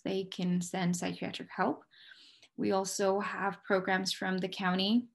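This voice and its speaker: A woman with an American accent giving candid, conversational interview answers as a police dispatcher, explaining things step by step and sometimes posing her own questions. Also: medium pitch.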